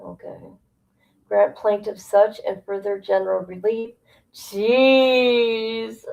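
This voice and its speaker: Woman livestream host, unhurried and creaky-voiced, blunt and gossipy casual commentary. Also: medium pitch, dull audio. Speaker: livestream host reacting to a document. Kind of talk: casual livestream commentary, reading aloud and reacting.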